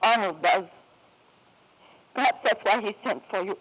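An actress playing a brittle, sardonic middle-aged wife who drinks, in 1950s radio drama style. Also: female voice, mid-range voice, a little slow, lightly acted. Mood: brittle, melancholy. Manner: gracious but brittle, sardonic. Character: brittle, bitter, hard-drinking wife and mother. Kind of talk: 1950s American radio acting. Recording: muffled, hissy old radio broadcast.

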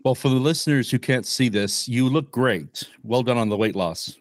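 A man's voice: medium pitch, moderate pace, no room sound, studio quality. A man hosting his own podcast, reading a scripted intro and asking interview questions in an upbeat, announcer-like way. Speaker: podcast host.

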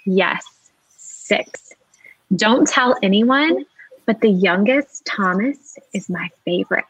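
A woman's voice: mid-range voice, animated, slow, a bit bright, breathy edge, dry recording, full character voice, low-quality recording. A woman playing a wistful, genteel maiden aunt in an amateur dramatic reading. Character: wistful unwanted maiden aunt, bereaved of her fiance.

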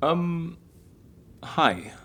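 An actress playing a hesitant, easily flustered woman in an audio drama, speaking haltingly. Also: low-pitched, sing-song, a bit bright, slightly rough, full character voice. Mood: tentative, confused. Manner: halting, stammering.

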